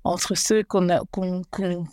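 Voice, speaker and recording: A woman in her forties, a guest telling her life story slowly and hesitantly, often restarting as she searches for words. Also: fairly low pitch, fairly even intonation, darkish tone, dry recording, clean studio sound. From Belgium and Rwanda. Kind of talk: personal life story interview.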